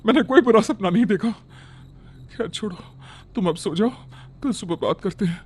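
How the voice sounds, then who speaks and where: Man voicing an anxious, high-pitched village aunt. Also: normal speed, breathy edge. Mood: urgent. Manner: urgent, pleading. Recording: background music under the voice.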